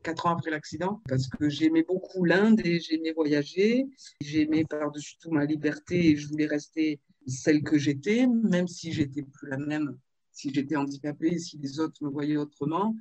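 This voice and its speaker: A woman in her fifties from Marseille, telling her life story in a video-call interview, with frequent pauses and fillers. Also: low voice, darkish tone, no room sound, highs cut off. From Marseille, France. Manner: hesitant, with many fillers and restarts. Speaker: author and interview guest.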